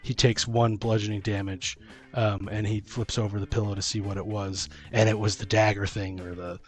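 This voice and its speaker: A man's voice: fairly low pitch, little pitch movement, normal speed, faint music behind. A man hosting a casual discussion of tabletop role-playing games, thinking aloud in a rambling way. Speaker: podcast host.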